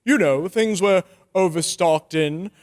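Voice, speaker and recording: A man's voice: high-pitched, slow pace. A man playing an officious, fussy department store manager in a radio drama. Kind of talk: old-time radio drama acting.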